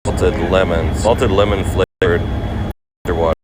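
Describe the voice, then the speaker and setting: A man's voice: sits low, fairly even intonation, a little slow. An American man with a bit of a cold, chatting casually on a livestream from a ferry deck and reading out viewer comments. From United States. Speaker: travel livestreamer. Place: ferry deck on the open water, outdoors. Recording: loud engine and wind noise. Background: boat engine and outdoor noise.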